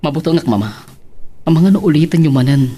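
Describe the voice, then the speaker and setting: A man's voice: fairly high pitch, lively intonation, fairly quick, warm tone, slightly rough. A man with an Ilocano accent playing an evasive, anxious young husband in a romance audio drama, stammering and hesitant. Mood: anxious, confessing. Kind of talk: amateur Ilocano radio-style romance drama acting.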